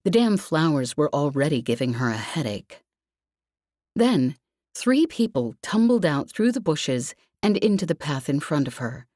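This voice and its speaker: Female audiobook narrator for fiction. Low register, slow delivery, very dry sound. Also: darkish tone, dry recording.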